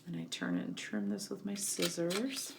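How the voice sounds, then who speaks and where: Casting: woman, low-ish gravelly voice, scratchy from a cold, chatty craft teacher, faint hiss behind. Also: unhurried. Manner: relaxed, chatty, many ums. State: getting over a cold, scratchy voice. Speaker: craft instructor. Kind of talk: scrapbooking tutorial.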